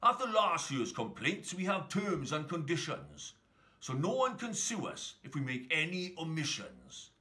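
A man's voice: on the high side, wildly swooping pitch, a little slow, sharp tone, heavily acted. A man voicing a slick, cheerful hipster Santa making announcements like a salesman in a children's Christmas verse story. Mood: brisk, salesy.

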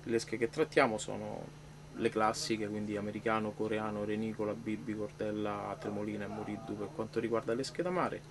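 A man in his thirties, a fishing shop owner, casually explaining his goods in a relaxed, unhurried way. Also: on the low side, background noise. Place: small fishing tackle shop, indoors. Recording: music playing behind the voice. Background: background music.

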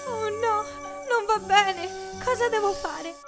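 A woman voicing a young female monster disguised as a girl in a cartoon, speaking softly and hesitantly. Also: very high-pitched, slow pace, heavily acted. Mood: worried. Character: young female monster in a girl's form, shy. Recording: music behind voice.

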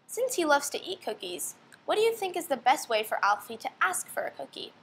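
A woman's voice: high-pitched, sing-song, brisk, a little hiss or hum. A young woman reading a storybook aloud to children, expressively and with questions to her listeners. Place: indoor room.

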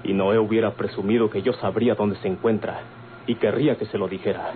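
A man's voice: on the low side, steady tone, brisk, close to an everyday voice. A man playing a composed, cautious Mexican man who reasons and advises in an old-time radio drama. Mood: cautious, explaining. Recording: tinny, hissy old radio recording.

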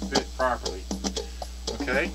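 Rambling man demonstrating gear over loud music. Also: mid-range voice, expressive, measured pace, low-quality recording. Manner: rambling, muttering to himself while handling things. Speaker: presenter of a product review. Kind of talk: casual unscripted hands-on demonstration. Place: indoors at a cluttered wooden workbench. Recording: music and electrical hum behind. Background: music, mains hum.

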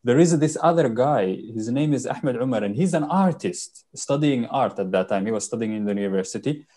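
A man's voice: medium pitch, expressive, fairly quick, mellow. A young man who was born in Norway and raised in Sudan, a documentary filmmaker answering interview questions over a video call, searching for words with many fillers. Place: home room with shelves. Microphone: headset on video call.

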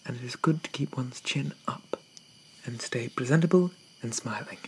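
A man playing a shy, gentle, polite Victorian zombie in a soft-spoken ASMR roleplay, stammering and hesitant with old-fashioned courtesy. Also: medium pitch, moderate pace, slight background noise, lightly acted, poor audio. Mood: wistful, resigned.

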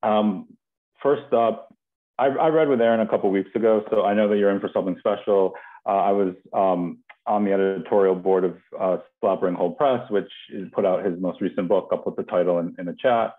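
Young male host, low voice, halting informal introductions, tinny phone-like video call sound. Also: steady tone. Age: young adult. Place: home kitchen, on video call.

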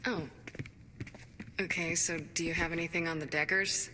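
An actress playing a tough gang leader in a video game, talking with dry sarcasm. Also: female voice, on the low side, steady tone, moderate pace, in a large hall, background noise, natural voice. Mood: casual, wry.

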